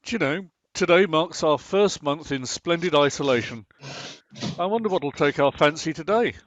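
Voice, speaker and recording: A man's voice: on the high side, lively intonation, normal speed, dry recording, close to an everyday voice. A man playing a pedantic middle-aged husband. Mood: cheerful. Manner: defensive, pedantic, stammers when caught out. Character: Martin, a bored middle-aged husband obsessed with online tank games. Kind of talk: amateur radio play acting. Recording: slightly muffled, lo-fi.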